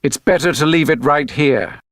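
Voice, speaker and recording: A man voicing a curious, energetic nine-year-old boy in a storybook, eager and earnest. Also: fairly high pitch, moderate pace, dry recording, clean studio sound, natural voice. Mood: firm. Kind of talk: storybook character reading.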